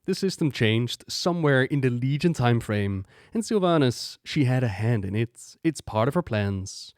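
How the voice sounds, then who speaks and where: A man's voice: medium pitch, expressive, normal speed, no room sound, clean studio sound. A man hosting gaming lore commentary in an enthusiastic, emphatic way. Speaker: video host.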